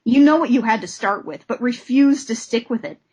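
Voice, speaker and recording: A woman podcast co-host with a fairly high voice that has a husky edge. She talks about comics expressively and gets theatrical when reading aloud. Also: moderate pace, a little mellow. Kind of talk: comic discussion podcast with dramatic reading of letters.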